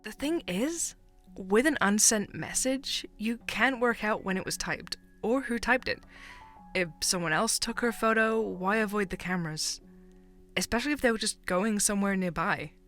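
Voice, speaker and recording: Woman playing a chatty friend, bright tense voice, faint background music. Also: medium pitch, moderate pace, natural voice.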